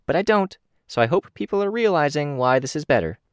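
A man reading an audiobook, voicing a chatty, eager teenage girl who talks quickly and brightly. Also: on the high side, expressive, smooth, no echo, clean studio sound, pitched above the performer's own voice, close to an everyday voice.

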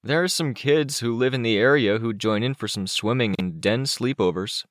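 A man voicing an excitable, defensive young boy with a complaining, exclaiming manner in an audiobook story. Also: mid-range voice, moderate pace, no room sound, clean studio sound, voice pushed up, close to an everyday voice. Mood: grumbling.

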